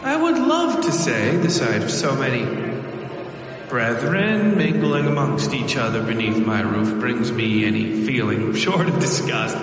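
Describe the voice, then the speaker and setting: A man voicing a haughty, disdainful demon lord in a horror story, with grandiose, drawn-out, singsong speech. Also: medium pitch, heavy reverb, long reverb tail. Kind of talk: horror audio fiction character voice.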